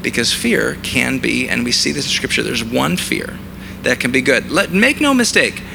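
Hoarse pastor in his thirties. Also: mid-range voice, animated, normal speed, noisy background. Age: 30s. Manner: self-deprecating humor, conversational preaching. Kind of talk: sermon.

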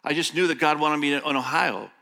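A middle-aged man who grew up in Indonesia, with Indonesian as his first language, preaching a sermon as a guest pastor, telling stories and reading scripture slowly with emphasis. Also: on the high side, gravelly, sharp tone. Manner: storytelling, slow emphatic scripture reading, quick asides. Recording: long church hall reverb.

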